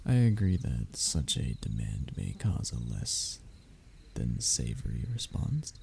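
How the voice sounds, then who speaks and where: A man's voice: a bass voice, sing-song, slow, creaky, darkish tone, a little hiss or hum, exaggerated voice. A man playing a young royal heir in a roleplay audio drama, formal and pompous in his wording but often faltering into nervous stammers as he addresses the listener. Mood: persuasive.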